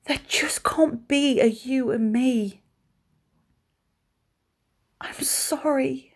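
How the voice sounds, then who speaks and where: A woman's voice: high voice, drawn-out words, full character voice. An actress playing an anguished woman turning down a lover in an emphatic, theatrical monologue. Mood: sorrowful, resolute.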